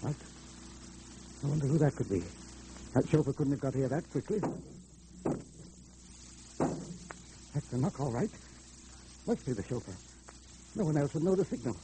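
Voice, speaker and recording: An actor playing a nervous, cowardly crook who stammers anxiously in an old-time radio drama. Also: male voice, mid-range voice, lively intonation, a little fast, long pauses, close to an everyday voice. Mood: wary. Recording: noisy old radio recording, hum.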